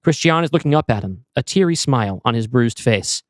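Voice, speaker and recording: A man narrating a scripted screenplay in the present tense, with measured, descriptive storytelling. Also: medium pitch, lively intonation, dry recording, clean studio sound. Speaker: narrator and host.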